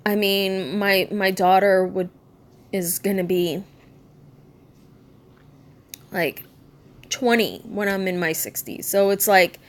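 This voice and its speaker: Vlogger, woman, mid-thirties, slow and hesitant, soft-spoken, lots of pauses and fillers. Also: medium pitch, steady tone. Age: 30s. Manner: slow, halting, subdued and candid, many ums and restarts. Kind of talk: personal vlog talking to camera.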